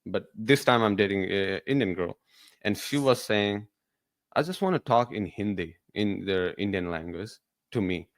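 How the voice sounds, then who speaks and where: A man's voice: on the low side, normal speed, dry recording. A man hosting a podcast, chatting casually and asking his guest follow-up questions. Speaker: podcast host.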